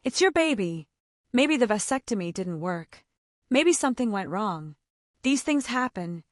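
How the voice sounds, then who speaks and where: A woman reader plays an anxious wife in a narrated story, earnest and pleading. The voice has a middle pitch and a dry, close sound. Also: big pitch swings, a little slow, no echo. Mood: hurt, pleading.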